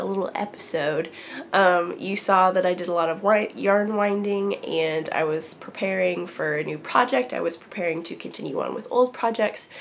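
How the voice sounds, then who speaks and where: Young female host, congested, casual and chatty, mid pitch. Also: gravelly. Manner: chatty, lots of ums and likes. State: congested, getting over a cold. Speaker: knitting podcast host. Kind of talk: casual chat to camera.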